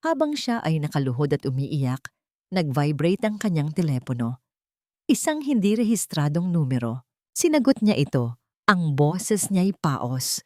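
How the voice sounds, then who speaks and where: A woman's voice: sits low, sing-song, measured pace, dry recording, clean studio sound. A woman reading a dramatic story as scripted narration.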